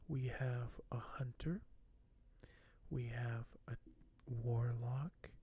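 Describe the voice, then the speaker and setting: A man doing soft-spoken ASMR in a breathy voice that often drops to a whisper, speaking in a slow, hushed, hesitant and apologetic way. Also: mid-range voice, fairly even intonation. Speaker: ASMR video host.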